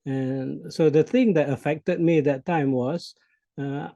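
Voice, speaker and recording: Mellow-voiced Malaysian man in his sixties. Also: on the high side, fairly even intonation, slow pace, vocal fry, no room sound. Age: 60s. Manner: reflective, with frequent hesitations and soft laughs. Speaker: CEO coach guest. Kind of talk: interview conversation.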